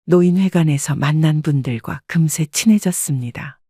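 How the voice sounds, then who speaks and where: A woman calmly narrating a first-person life story. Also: low-pitched, normal speed, slightly rough, no echo, studio quality.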